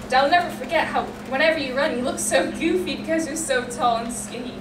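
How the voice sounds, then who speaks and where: High-voiced teen girl reading a poem. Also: brisk. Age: late teens. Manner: earnest and heartfelt, emotional, reads in long run-on lines. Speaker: student poet. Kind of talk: reading her own poem aloud. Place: school poetry reading in a hall. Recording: echoey room, distant mic, loud ambient noise.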